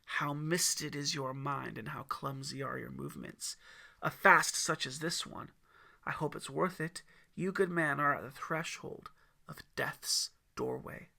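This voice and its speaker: A man's voice: a little high, steady tone, unhurried, vocal fry, pushed and bright, full character voice. A man voicing the devil Lucifer as a scornful, theatrical tempter in an audiobook story, mocking and drawing out his emphasis. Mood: taunting.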